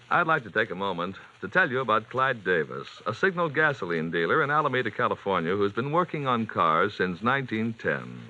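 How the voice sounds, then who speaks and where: A man announcing and pitching for a sponsor in a polished, emphatic 1940s radio style. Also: sharp tone. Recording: dull vintage radio recording.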